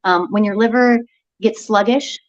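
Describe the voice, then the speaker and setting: A woman health coach talking eagerly as a guest, tripping over her words and restarting. Her audio comes through dull and muffled. She speaks at a middling pitch. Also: moderate pace. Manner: animated, restarts her sentences often. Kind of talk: casual health talk show chat.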